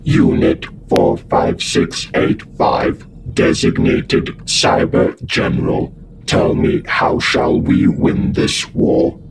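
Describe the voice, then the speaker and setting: A man playing a cold, ruthless Cyberman controller in a sci-fi audio drama, giving halting, imperious commands through robotic voice processing. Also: rumbling low, wildly swooping pitch, gravelly, heavy background noise, exaggerated voice. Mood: cold, commanding.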